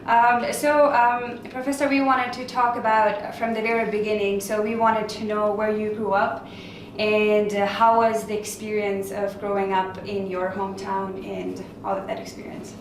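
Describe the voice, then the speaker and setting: A woman's voice: medium pitch, level tone, normal speed. Woman interviewer, chatty, lots of fillers, very echoey room, far from mic, audible noise. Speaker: podcast host, interviewer. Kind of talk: conversational interview.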